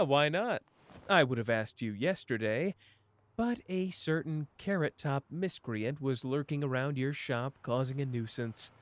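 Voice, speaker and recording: A man doing a slow, flirtatious charmer. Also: on the high side, dry recording.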